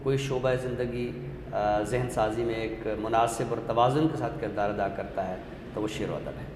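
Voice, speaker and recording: A man explains literature as a talk show guest, measured and scholarly, often posing rhetorical questions. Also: fairly low pitch. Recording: echoey hall-like room with faint noise.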